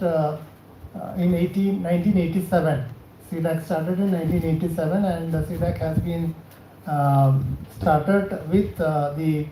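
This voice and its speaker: Dark-voiced South Indian man lecturing, echoey room. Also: on the high side. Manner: halting, repetitive, checks with listeners by questions. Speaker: cybersecurity scientist giving a training session. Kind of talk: spoken lecture to a class. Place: indoor college session room. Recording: echoey room, faint background noise.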